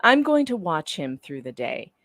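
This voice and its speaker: A woman's voice: on the low side, sing-song, normal speed, a bit bright, dry recording, clean studio sound. A woman doing a dramatic reading of courtroom dialogue in a conversational, theatrical way. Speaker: panelist reading court transcript parts.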